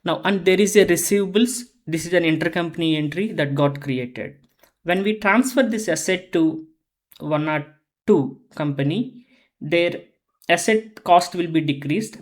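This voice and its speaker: An instructor giving a methodical, step-by-step explanatory lesson on accounting software, often repeating phrases while explaining. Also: mid-range voice, normal speed.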